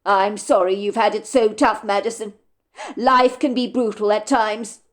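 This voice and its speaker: A woman voicing a reluctant, apologetic male landlord in a read story, measured and regretful. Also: medium pitch, steady tone, close to an everyday voice.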